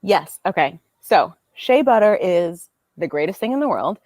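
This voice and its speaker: A woman's voice: lowish voice, expressive, normal speed, darkish tone, dry recording. A young American woman talking casually as a podcast guest, chatty with lots of fillers. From United States. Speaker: guest business owner.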